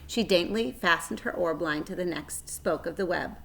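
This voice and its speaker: A woman with a slightly low voice reads a children's book aloud. Her storytelling is measured and expressive, following the narration phrase by phrase. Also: gravelly.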